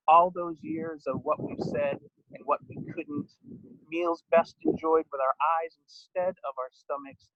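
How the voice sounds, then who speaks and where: A man's voice: a little high, fairly bright tone, no echo. A man giving an author's reading of his own poems and stories, with informal asides. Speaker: poet and author. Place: at home. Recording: dull, muffled lo-fi sound.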